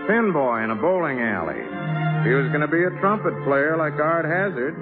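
Loud trumpet music plays behind a wistful jazz pianist telling a story. His folksy, laconic narration rises and falls expressively, through muffled 1950s radio sound. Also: fairly high pitch, sing-song, normal speed. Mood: admiring. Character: wistful, laconic dance-band piano player narrating. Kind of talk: 1950s radio drama first-person narration.

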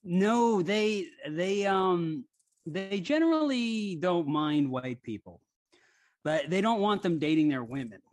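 A man's voice: high voice, expressive, slow pace. A man who grew up in Hawaii, chatting casually and telling rambling anecdotes with many restarts. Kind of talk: casual chat.

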